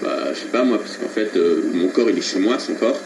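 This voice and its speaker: A man making a prank phone call in a hesitant, halting way, keeping a deadpan, earnest tone. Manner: hesitant, halting, feigned seriousness. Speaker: prank caller. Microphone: telephone line. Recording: noisy low-quality telephone recording from 2001.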